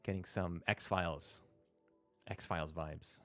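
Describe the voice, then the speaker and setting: Low-voiced man thinking aloud on stream. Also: steady tone, slow pace, fairly bright tone, faint music behind. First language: Spanish. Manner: thinks aloud, hesitant, many fillers and restarts. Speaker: livestream host. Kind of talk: casual live-stream commentary while playing. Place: small room at a desk. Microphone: close studio mic on a stand.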